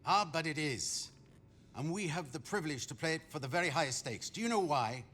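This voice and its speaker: General, lively swooping intonation. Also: male voice, a little high. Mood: smug, philosophical. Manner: measured, deliberate, courteous. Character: captured German general, composed, philosophical, weary war strategist.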